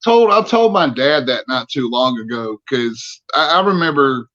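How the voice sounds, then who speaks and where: A man in his forties talks candidly as a podcast guest, earnest and halting, often starting his sentences over. His voice sits at an ordinary pitch. The sound is a little muffled and dull. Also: lively intonation, a bit bright. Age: 40s. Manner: earnest, halting, many restarts. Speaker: interview guest, army veteran.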